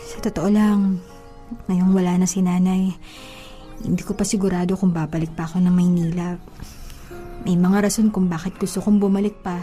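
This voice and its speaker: A woman's voice: on the low side, level tone, normal speed, long pauses, dark tone, close to an everyday voice. A woman playing a coy, bantering young provincial woman being courted in a romantic audio drama. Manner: coy, teasing, hesitant when surprised. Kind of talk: radio-style romantic drama acting. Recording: music bed under the voice.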